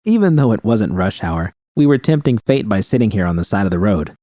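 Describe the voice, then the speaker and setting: A man's voice: lowish voice, very animated, a little fast, dark tone, dry recording, studio quality. A man reading scripted first-person fiction as a narrator.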